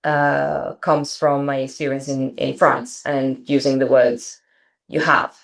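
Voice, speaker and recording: A woman's voice: a bass voice, level tone, slow pace, vocal fry. A woman in a roundtable discussion, putting a question to another participant in a tentative, hesitant way. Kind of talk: conversational discussion.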